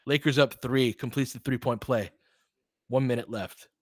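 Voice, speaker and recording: A man doing live basketball play-by-play and analysis as a host, informal and openly partisan, excitable on big plays. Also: mid-range voice, normal speed, no room sound, clean studio sound.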